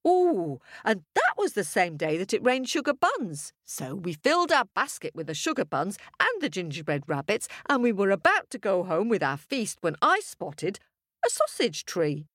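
A woman voicing an excitable, chatty, boastful farmer's wife in a folk tale, gushing and rambling. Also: mid-range voice, normal speed, no echo, studio quality. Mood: proud, gushing. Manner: gushing, breathless exclamations, rambling. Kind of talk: storybook character voice.

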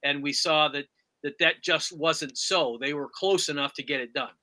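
A man's voice: a little high, normal speed, pushed and bright. A man hosting a sports talk interview, putting questions and comments to his guest. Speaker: sports podcast interviewer, host.

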